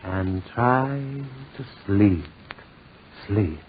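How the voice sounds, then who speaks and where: Courteous doctor, tinny old radio. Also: male voice, deep voice, expressive, exaggerated voice. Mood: soothing. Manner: measured, soothing, polite. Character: calm, reassuring male doctor, practical and courteous. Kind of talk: 1940s radio acting. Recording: tinny, hissy 1940s radio recording.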